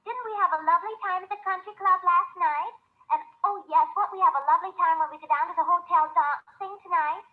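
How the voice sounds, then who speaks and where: An actress playing a young, exasperated, pleading sweetheart in a theatrical 1930s radio comedy. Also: female voice, very high voice, brisk. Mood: affected gaiety. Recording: tinny, band-limited 1930s radio recording.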